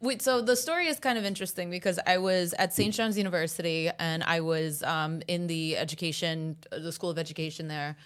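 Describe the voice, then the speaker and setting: A woman in her thirties from the USA, whose first language is Italian, talking as a podcast guest in a lively, wry, self-mocking way. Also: on the low side, fairly quick, few pauses, smooth. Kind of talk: casual interview chat.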